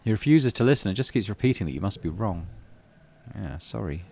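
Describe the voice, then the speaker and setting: Low-voiced British man, lively intonation. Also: brisk, vocal fry, husky. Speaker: gaming channel host. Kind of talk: casual playthrough commentary, reading game text aloud.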